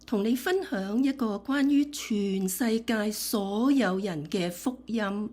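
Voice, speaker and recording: Announcer: woman, very unhurried delivery, breathy voice, introducing a radio drama. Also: medium pitch, a little mellow.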